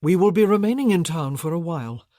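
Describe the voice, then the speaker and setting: An audiobook narrator voicing a composed young aristocratic husband who speaks in formal, measured sentences. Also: medium pitch, animated, mellow, no echo, studio quality, lightly acted. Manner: formal, measured, slightly raised.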